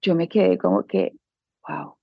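Casting: Colombian woman, husky with vocal fry, colloquial and expressive storyteller in an informal interview. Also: fairly low pitch, unhurried, no room sound, highs cut off. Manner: colloquial, expressive, acts out quoted dialogue. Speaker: interview guest, beauty business owner. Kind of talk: casual personal storytelling.

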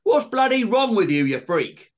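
A man's voice: high-pitched, big pitch swings, slow pace, gravelly, fairly bright tone, voice pushed up, exaggerated voice. A man voicing an irritable, demanding husband in a comic story, with pantomime exasperation and blunt outbursts. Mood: outraged.

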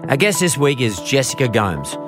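A man's voice: medium pitch, very animated, normal speed, over music. A middle-aged man hosting a podcast interview, chatty and rambling, often restarting his sentences. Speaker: podcast host. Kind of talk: conversational interview.

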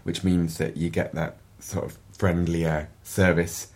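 Husky low-voiced Yorkshire man. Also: little pitch movement, unhurried. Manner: chatty, repeats words when starting sentences. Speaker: guest, events agency director. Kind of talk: casual interview chat.

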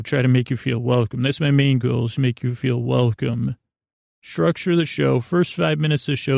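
A man hosting a bedtime podcast in a halting, digressive monologue, goofy and self-deprecating, with a creaky voice. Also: medium pitch, darkish tone, dry recording, clean studio sound. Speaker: podcast host.

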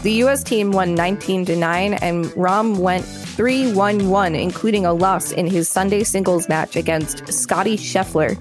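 A woman's voice: mid-range voice, measured pace, hardly any pauses, over music. A woman co-hosting a show, reading an article aloud in a narrator's style with light, joking chat in between. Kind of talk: read-aloud narration with casual banter.

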